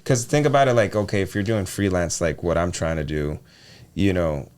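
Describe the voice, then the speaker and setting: A man with a deep voice co-hosting a podcast in casual chat, often restarting his sentences. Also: brisk, darkish tone. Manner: restarts and trails off often, conversational. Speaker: podcast co-host and freelance voiceover artist.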